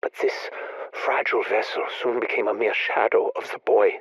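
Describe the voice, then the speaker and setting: An actor playing a grieving, obsessive male scientist, speaking in a measured, brooding, confessional way in video game audio logs. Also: lowish voice, fairly even intonation, slightly rough, dry recording, highs cut off.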